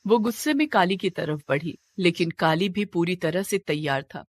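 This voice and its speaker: A woman's voice: fairly low pitch, animated, moderate pace. A woman narrating a scripted mythological tale in a dramatic storytelling style.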